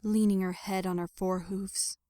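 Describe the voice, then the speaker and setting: A woman's voice: mid-range voice, flat delivery, moderate pace, mellow, dry recording, clean studio sound. A woman reading scripted story narration in a dramatic audio fiction style. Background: music.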